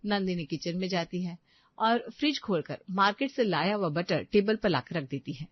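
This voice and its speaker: A woman's voice: on the low side, normal speed, dry recording, highs cut off. A woman reading scripted narration for a story.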